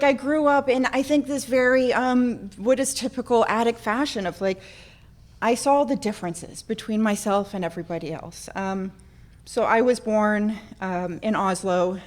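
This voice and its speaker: Woman, slightly high voice, informal storyteller, candid, self-deprecating, speaking to a group. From central Florida, born in Norway. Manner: candid, self-deprecating, animated when acting out moments. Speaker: speaker sharing a personal addiction recovery story.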